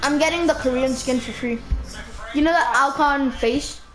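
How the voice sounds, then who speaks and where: A woman's voice: sits high, moderate pace, poor audio. Woman game streamer, casual chatter, gaming mic, game music underneath. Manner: chatty, giggly, swears casually. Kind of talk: casual chat while playing.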